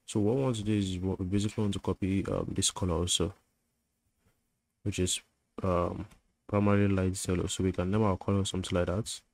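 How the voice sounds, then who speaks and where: Man, deep voice, monotone delivery, coding tutorial, thinking aloud while typing, fillers and restarts. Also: a little fast, vocal fry. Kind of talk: screen-recorded coding tutorial.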